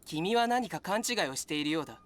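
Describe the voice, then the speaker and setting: An actor playing a calm, aloof and coolly polite mysterious man in a Japanese visual novel game. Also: mid-range voice, rapid-fire, natural voice. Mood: cool, detached.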